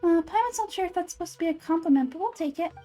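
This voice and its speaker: Game companion fairy, played by a woman: tiny, childlike, very high and exclamatory. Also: animated, very fast, dark tone, faint music behind. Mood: surprised. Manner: exclamatory, speaks of herself in third person. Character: small excitable fairy companion, childlike and squeaky.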